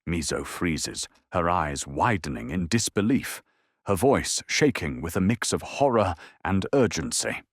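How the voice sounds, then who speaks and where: Deep, husky male dramatic narrator. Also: lively intonation, unhurried, dry recording, clean studio sound.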